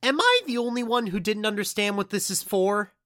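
A woman playing a panicky, excitable member of an adventuring team in an audio drama, urgent and overdramatic. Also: medium pitch, a little fast, no echo, clean studio sound, natural voice. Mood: puzzled, earnest.

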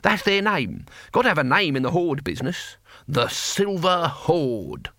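A man's voice: fairly high pitch, lively intonation, brisk, sharp tone, no echo, studio quality, pitched above the performer's own voice, heavily acted. A man voicing an elderly, proud and defiant barbarian hero in an audiobook story, with blunt, boastful, colloquial speech. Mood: matter-of-fact, proud.

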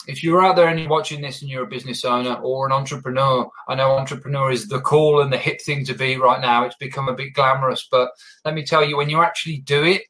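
Bright-voiced man hosting a podcast. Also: medium pitch, rough recording. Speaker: podcast host. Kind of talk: upbeat interview chat. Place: based in Melbourne, live online podcast.

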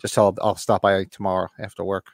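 A man's voice: low voice, fast, vocal fry, no echo, clean studio sound. A middle-aged man with a stuffy, sniffly nose from allergies, a podcast co-host rambling and telling stories in casual chat over a remote call. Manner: rambling, animated storyteller.

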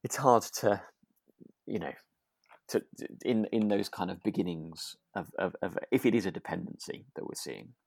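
A co-host speaks in a very low voice, recorded close and dry. The delivery is thoughtful and tentative, feeling its way through each point in relaxed conversation. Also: normal speed, gravelly, dry recording. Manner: tentative, thoughtful, hesitant phrasing. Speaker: podcast co-host. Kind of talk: relaxed discussion of a radio drama.

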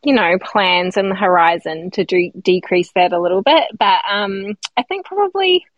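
A woman in her thirties, a midwife and guest on a podcast, talking in a relaxed, thoughtful way with many ums and likes. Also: medium pitch, moderate pace, no room sound, dull audio. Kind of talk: casual interview chat.

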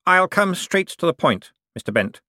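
A man voicing a smug, wealthy bank director in an audiobook, suave and condescending, with drawn-out, menacing hints. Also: high-pitched, sing-song, sharp tone, dry recording, clean studio sound, voice pushed up, full character voice. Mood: smooth, pressing. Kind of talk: audiobook character dialogue.

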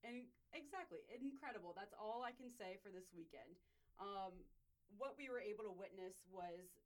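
A young woman from Ohio hosts a sports podcast, talking in a lively, chatty way. Her voice sits at an ordinary middle pitch for a woman, and she keeps the conversation moving with quick reactions and plenty of energy. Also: poor audio. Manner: chatty and animated, with a punchy scripted opening. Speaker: podcast host. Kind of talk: podcast interview chat.

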